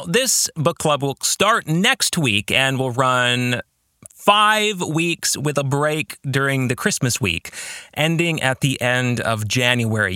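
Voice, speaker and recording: A man's voice: medium pitch, sharp tone, no echo, clean studio sound. A man hosting a podcast, speaking directly to his listeners in an earnest, emphatic way. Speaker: podcast host. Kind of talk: podcast monologue addressed to listeners.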